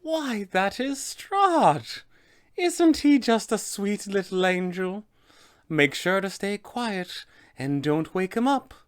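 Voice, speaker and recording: An old witch mother from a tabletop game, acted out by a man, speaks in a high, drawn-out croon. She is doting and deluded, with a quiet menace under the sweetness. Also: lively intonation, clean tone. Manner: sweetly crooning, slow, measured.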